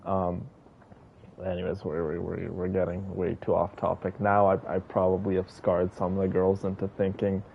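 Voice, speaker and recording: A man's voice: sits low, completely flat delivery, moderate pace, vocal fry, narrow, tinny audio, a little hiss or hum. A man giving casual, rambling, sentimental commentary as a game streamer.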